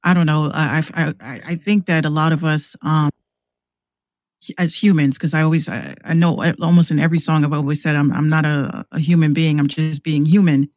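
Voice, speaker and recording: A man's voice: a little high, flat delivery, brisk, no echo. A man talking casually as a podcast guest, rambling and repeating words as he works out his thoughts. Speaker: podcast guest and music maker. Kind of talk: casual conversational podcast talk.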